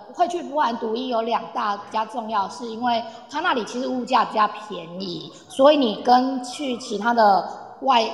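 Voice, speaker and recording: Woman, Taiwanese Mandarin, casual explainer with English words dropped in; creaky voice, long reverb. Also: a little high. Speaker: guest presenter on Poland. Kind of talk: casual explanatory talk with audience. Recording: echoey, reverberant room sound.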